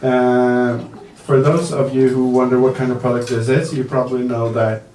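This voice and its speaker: A man working as a makeup artist, giving a live demonstration to the press at an indoor event, talking slowly and hesitantly with many fillers and the odd joke. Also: mid-range voice, flat delivery, a little mellow. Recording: echoey room, mic far off, faint noise.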